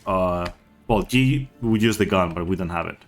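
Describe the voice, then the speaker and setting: Guy w/ lowish voice doing a speedrun tutorial, casual n halting, trails off a lot, music behind him. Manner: hesitant, halting, often trailing off. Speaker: speedrunner giving a game tutorial. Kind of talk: casual unscripted tutorial narration.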